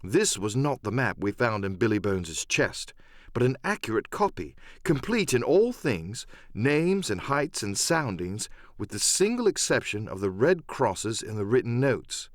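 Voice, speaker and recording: A man narrating a scripted dramatic first-person story as an audiobook, with measured, expressive storytelling. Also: mid-range voice, dry recording, studio quality.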